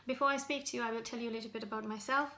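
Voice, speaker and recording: A woman from Sri Lanka with a Sri Lankan accent, delivering an earnest, critical open message in halting, direct address. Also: fairly high pitch, steady tone, bright, forceful tone. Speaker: citizen activist giving an open message. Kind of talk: direct spoken address to camera, semi-prepared. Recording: echoey room sound.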